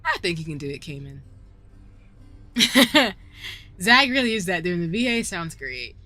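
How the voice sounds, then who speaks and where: A young woman with a Southern drawl chats on a gaming stream, giggling often and rambling through filler words. Her voice is bright and bouncy, leaping between high and low notes, with faint music playing behind her. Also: big pitch swings, measured pace, no echo. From southern United States. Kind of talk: casual live-stream chat while gaming. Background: faint background music.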